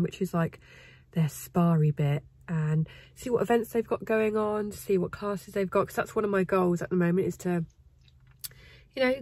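Croaky, bunged-up woman vlogging by phone. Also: mid-range voice, a little mellow, no room sound. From England. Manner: chatty, bubbly, rambling asides. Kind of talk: casual vlog chat. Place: parked car, then indoor farm shop. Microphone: phone held at arm's length.

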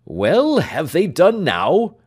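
A man voicing a brisk, fussy elderly country doctor who calls out and demands things impatiently in a storybook reading. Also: a little high, huge pitch swings, slightly rough, voice pushed up, full character voice. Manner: loud, impatient calling and demanding.

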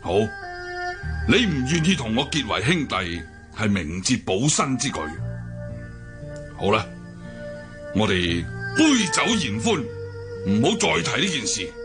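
An actor playing a proud, brooding older deposed martial-arts cult leader in a Hong Kong Cantonese radio drama, declaiming and sighing theatrically. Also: male voice, medium pitch, huge pitch swings, measured pace, pauses often. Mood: grave, resigned. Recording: music bed behind the voice.

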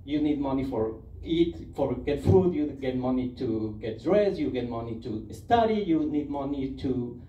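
A man in his thirties from Ecuador with a Spanish accent, doing an animated, self-mocking stand-up set on a club stage with a handheld mic. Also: medium pitch, normal speed, lo-fi sound, slight background noise. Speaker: stand-up comedian. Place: comedy club stage, indoors. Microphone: handheld mic, filmed from the audience. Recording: roomy club sound taken from far off, loud ambience.

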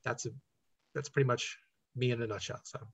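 Husky middle-aged American man. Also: mid-range voice, fairly even intonation, brisk. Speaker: playwright being interviewed. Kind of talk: conversational interview answers. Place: living room at home, indoors. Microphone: computer mic on a video call.

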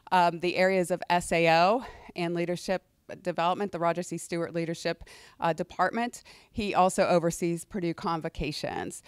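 Hoarse woman speaking over a mic. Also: fairly low pitch, no echo, clean studio sound. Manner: conversational, with fillers and restarts. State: hoarse, losing her voice from a cold. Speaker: university administrator presenting. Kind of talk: presentation to student senate. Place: lecture hall, indoors. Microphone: handheld microphone.

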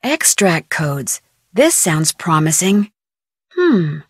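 A woman's voice: on the low side, sing-song, takes it slowly, slightly rough, no room sound, clean studio sound, exaggerated voice. A woman voicing a plucky, curious teenage girl detective in a video game, wryly thinking aloud to herself. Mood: intrigued. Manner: wry, curious, self-talking. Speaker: voice actor of a video game heroine.

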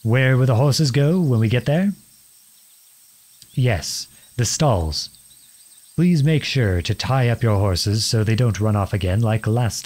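Guy acting as a teasing young outlaw prince, husky, swoopy pitch, courtly talk, asmr romance roleplay. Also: darkish tone. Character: charming, teasing young outlawed prince leading his men. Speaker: audio roleplay performer.

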